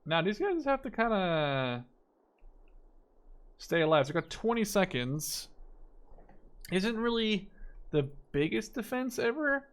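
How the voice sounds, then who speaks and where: A man's voice: sits high, sing-song, takes it slowly, long pauses. A man in his twenties giving casual strategy game commentary, thinking aloud in an informal way. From Netherlands. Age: 20s. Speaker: gaming video creator. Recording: clear gunfire and artillery noise behind. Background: game battle sounds, gunfire, artillery.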